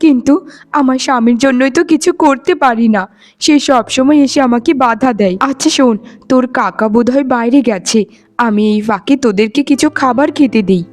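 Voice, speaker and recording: A woman's voice: fairly high pitch, a little fast, darkish tone, natural voice. A woman voicing a kindly, timid aunt in a cartoon, solicitous and motherly. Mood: kind, concerned. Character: kindly aunt, timid wife of a domineering man. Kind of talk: cartoon voice acting. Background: faint music.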